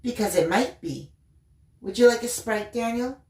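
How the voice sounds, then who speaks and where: A woman's voice: a little high, lively intonation, normal speed, a little mellow, pitched above the performer's own voice. Woman as Michaela, serene enigmatic bookworm in echoey room. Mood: serene, cryptic. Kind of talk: audiobook character dialogue.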